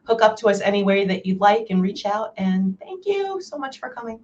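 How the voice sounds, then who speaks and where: A woman co-hosting a podcast on a video call, a little hoarse, friendly and upbeat. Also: medium pitch, very animated, fairly quick, a little mellow, muffled recording. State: losing her voice. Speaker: podcast co-host.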